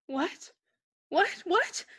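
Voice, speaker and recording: A woman's voice: very high voice, sing-song, very slow, fairly bright tone, exaggerated voice. A woman playing a terrified young woman fleeing home alone at night, talking to herself in breathless, repeated bursts in the style of a 1940s radio play. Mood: alarmed. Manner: breathless, frantic self-talk in short repeated bursts.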